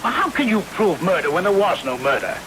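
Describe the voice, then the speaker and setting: A man's voice: high-pitched, sing-song, a little fast, fairly bright tone. An actor in a 1970s radio drama playing a debonair, amoral man who indignantly pleads innocence, on a hissy old broadcast recording. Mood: protesting, agitated.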